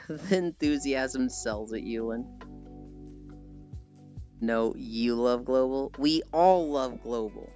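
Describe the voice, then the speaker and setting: A livestreamer chatting casually and answering viewers in a rambling, playfully teasing way with mild swearing. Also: medium pitch. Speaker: livestreamer, host. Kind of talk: casual livestream chat, reading and answering chat messages. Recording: dull lo-fi audio with music. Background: music.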